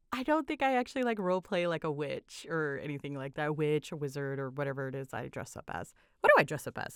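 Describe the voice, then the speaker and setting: A woman's voice: medium pitch, fairly bright tone, clean tone, dry recording, studio quality. A woman chatting casually and animatedly as she answers listener questions, with a giggly, self-deprecating manner. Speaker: hobbyist audio roleplay creator answering listener questions.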